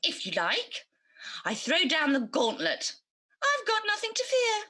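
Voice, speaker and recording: A woman's voice: extremely high pitch, wildly swooping pitch, a little slow, bright, forceful tone, heavily acted. A woman playing a good, easily indignant champion of virtue in a radio pantomime, theatrical and earnest. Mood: defiant.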